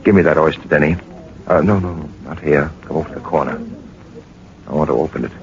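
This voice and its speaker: An actor playing a brisk, commanding gentleman adventurer in a 1940s radio drama, clipped and authoritative. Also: very deep voice, gravelly. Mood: brisk, knowing. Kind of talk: 1940s radio drama acting and first-person narration. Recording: muffled, noisy 1940s radio recording.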